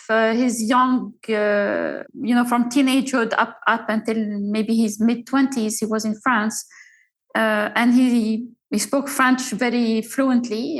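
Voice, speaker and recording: A middle-aged woman speaks as a podcast guest, her plain in every phrase. Her voice sits a little high, and she talks haltingly, stopping on fillers and starting her sentences again as she tells her stories. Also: poor audio. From Algeria. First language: Arabic. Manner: halting, with frequent fillers and restarts. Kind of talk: casual storytelling interview.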